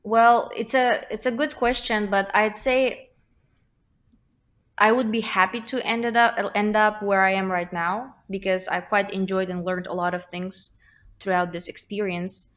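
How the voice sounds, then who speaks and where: A woman's voice: medium pitch, steady tone, a little slow, fairly bright tone. A young woman with a slight Russian accent, a guest talking thoughtfully in a casual interview, with many fillers and restarts. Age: young adult.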